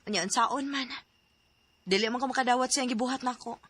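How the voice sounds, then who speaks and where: A woman's voice: a little high, fast talker, a bit bright, slightly rough. An actress with a Cebuano accent playing an emotional young woman in a radio drama. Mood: soft, lovelorn. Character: emotional young woman hurt by her boyfriend.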